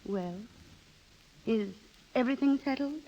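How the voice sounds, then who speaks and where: An actress playing an eager, well-to-do wife who is brisk and coaxing, in 1930s radio drama style. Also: female voice, high voice, lively intonation, mellow, a little hiss or hum. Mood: gentle, attentive. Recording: dull, lo-fi 1930s radio recording.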